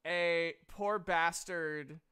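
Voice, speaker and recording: A man's voice: fairly high pitch, steady tone, very slow, sharp tone, smooth. A man narrating a tabletop game as game master, casual and improvised, with joking, profane asides. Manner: jokey, profane.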